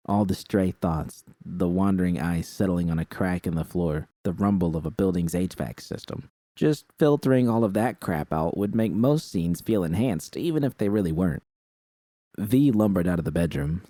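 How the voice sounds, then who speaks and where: A man narrates a first-person story in a low-pitched voice. The tone is dark and mellow, and the recording is dry and close, with no room sound. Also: no echo. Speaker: audiobook narrator.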